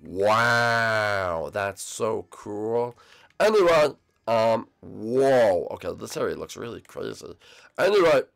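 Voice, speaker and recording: Man playing bratty young monster prince, whiny, teasing, swooping pitch, a bit gravelly. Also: slow, heavily acted. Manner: whiny, teasing, easily excited. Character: bratty young male dragon-turtle prince, whiny son.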